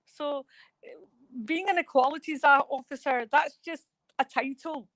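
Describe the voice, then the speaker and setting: A woman's voice: high-pitched, a little slow, a bit bright, no echo. A woman talking as a guest in a remote radio interview, rambling and hesitant with many fillers. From Scotland.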